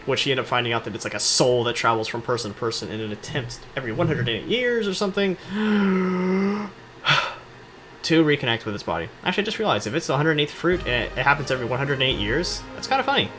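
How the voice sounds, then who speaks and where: A man with an average-pitched, very expressive voice talks through a video game as its host. His delivery is loose and rambling, full of sarcasm and jokes at his own expense. Music plays clearly behind him. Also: fast talker. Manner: jokey, sarcastic, self-deprecating.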